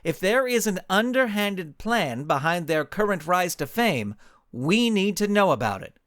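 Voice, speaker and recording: A man's voice: high-pitched, very animated, unhurried, fairly bright tone, no room sound, studio quality, voice pushed up, heavily acted. A man voicing an assertive, impatient superheroine with an insistent manner in a story.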